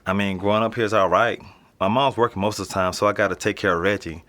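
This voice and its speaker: Man playing a nonchalant, low-voiced American teenage boy. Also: fairly even intonation, fast talker, fairly bright tone, lightly acted. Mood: offhand. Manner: casual, slangy, offhand. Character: nonchalant streetwise teenage boy living in an apartment building. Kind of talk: naturalistic audio drama interview.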